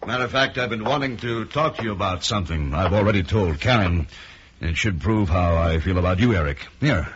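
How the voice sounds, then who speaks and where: An actor playing a wealthy, smooth-talking investor with a husky voice in an old radio drama, casual and placating. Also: male voice, low voice, animated, fairly quick. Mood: reassuring, smooth. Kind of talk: mid-century radio drama acting. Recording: muffled old radio recording.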